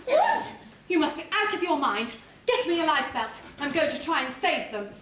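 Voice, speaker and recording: Woman as heroic pantomime prince, high lively voice, earnest, declamatory, distant in a noisy hall. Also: normal speed. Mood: indignant. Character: noble, brave young prince, earnest pantomime hero. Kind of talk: stage pantomime acting.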